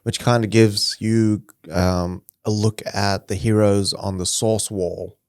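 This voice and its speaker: A man co-hosting a casual podcast, his voice sitting on the low side. He runs through the segments in loose, easy chat and breaks into laughter often. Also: fairly even intonation, slow pace, darkish tone, smooth, no echo, studio quality. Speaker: podcast co-host.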